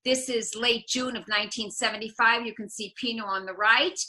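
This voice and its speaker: Woman in her seventies, high voice, very bright tone, from the US Midwest, storytelling tour guide on a video call. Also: steady tone, brisk. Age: 70s. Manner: warm storyteller, drops in Italian words with relish. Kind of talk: illustrated talk over slides.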